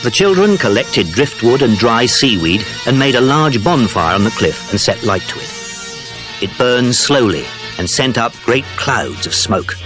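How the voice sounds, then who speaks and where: A man's voice: medium pitch, lively intonation, unhurried, lightly acted. A man narrating a children's adventure audiobook from a script, as its storyteller. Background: music.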